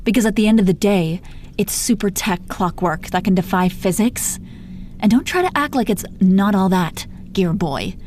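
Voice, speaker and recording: A woman's voice: mid-range voice, moderate pace, darkish tone, slight background noise, natural voice. A woman playing a gloating, menacing female supervillain in a roleplay audio, condescending, sardonic and exasperated, with heavy swearing. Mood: exasperated lecturing. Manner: taunting, condescending pet names, heavy swearing. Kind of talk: dramatic roleplay audio monologue.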